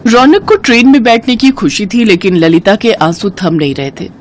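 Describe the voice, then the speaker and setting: A woman narrating a scripted moral story in an expressive storytelling manner. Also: mid-range voice, normal speed, a little hiss or hum.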